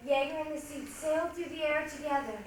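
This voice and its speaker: A woman giving a theatrical, deliberate dramatic narration as a readers' theatre performer. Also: high voice. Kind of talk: dramatic recited stage narration. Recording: very echoey hall, distant mic, faint noise.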